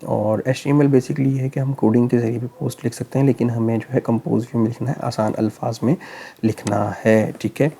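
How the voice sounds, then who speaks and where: A man with a sore, hoarse throat, walking through a computer tutorial step by step in a relaxed, explaining way, often pausing to check in. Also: medium pitch, a little hiss or hum. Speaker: tech tutorial host. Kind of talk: screen-recorded tutorial narration.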